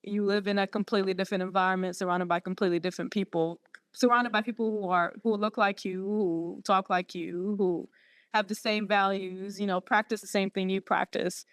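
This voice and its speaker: A woman's voice: mid-range voice, steady tone, a bit bright, no room sound. Earnest woman. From Syria. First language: Arabic. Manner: earnest, halting with many fillers and restarts. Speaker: podiatry student guest. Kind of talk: personal story in interview.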